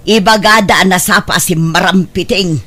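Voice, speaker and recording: A woman's voice: lowish voice, normal speed, bright, forceful tone. A woman playing a proud, disapproving, stern mother in an Ilocano radio drama. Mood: scolding.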